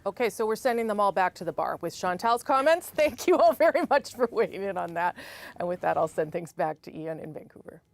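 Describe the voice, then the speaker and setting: Brisk woman panel host. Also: mid-range voice, expressive, vocal fry. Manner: brisk, affirming. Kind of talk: hosting a political panel.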